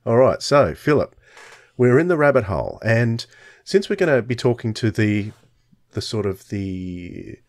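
A middle-aged man, hosting a casual podcast chat in halting, hesitant phrases. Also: medium pitch, big pitch swings, dry recording. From Australia. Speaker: podcast host. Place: indoors on a video call, close dry sound.

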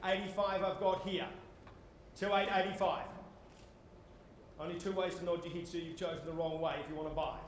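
An Australian man calls a property auction in a high, projecting voice. His delivery is theatrical yet formally courteous. The sound is heavily reverberant, with faint background noise in a conference hall. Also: little pitch movement, a little fast, pauses often. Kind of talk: live property auction calling. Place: on stage in a large indoor conference hall.

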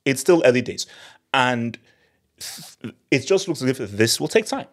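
A man in his thirties, a football channel host, giving an animated, emphatic post-match analysis on a studio mic in a small home studio. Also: mid-range voice, measured pace. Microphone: studio mic on boom arm.

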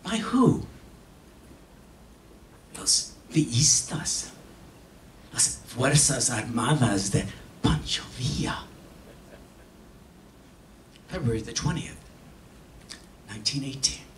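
An older man performing a dramatic reading on stage in a solemn, theatrical manner with long pauses. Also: medium pitch, lively intonation. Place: stage in an auditorium. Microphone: stand microphone heard from a distant camera. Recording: echoey hall, distant camera, faint noise.

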